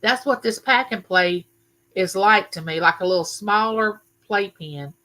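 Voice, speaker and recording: Older woman, livestream host; loud, bright, sharp-edged voice, chatty and welcoming by name. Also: medium pitch, unhurried, rough recording. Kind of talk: casual live chat with viewers. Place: home room used for filming.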